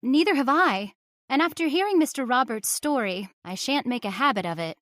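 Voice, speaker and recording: A woman's voice: high-pitched, animated, brisk, a bit bright, no room sound, clean studio sound. An actress playing a young engaged woman who argues earnestly with her stubborn father, in old-time radio drama style. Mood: uneasy. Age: young adult. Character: young woman, engaged, frightened but spirited daughter.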